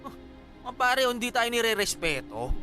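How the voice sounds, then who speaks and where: An actor playing a menacing, mocking drunken thug who bullies and taunts in a radio drama. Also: male voice, very high voice, sing-song, moderate pace, pushed and bright, faint music behind, full character voice. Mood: threatening. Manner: taunting, swaggering, punctuated with mocking laughter.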